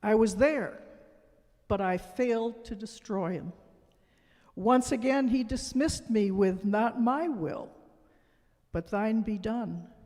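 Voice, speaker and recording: A man performing a dramatic church reading as Doubt, a brooding, frustrated tempter, in a deliberate, emphatic delivery. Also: sits high, darkish tone. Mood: frustrated, defeated. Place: large church sanctuary. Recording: long reverberant church hall echo.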